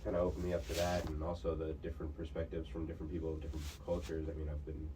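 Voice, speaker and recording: A man's voice: a bass voice, completely flat delivery, racing, warm tone, recorded from a distance, a little hiss or hum, rough recording. A young man, a student guest on a campus radio show, answering plainly and a bit hesitantly. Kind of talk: casual radio interview.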